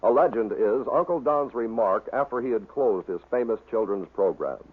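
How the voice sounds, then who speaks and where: A man's voice: medium pitch, animated, normal speed, slightly rough. An American man delivering scripted narration as a documentary-like narrator. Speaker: narrator of a radio bloopers compilation. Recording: narrow phone-like vintage recording.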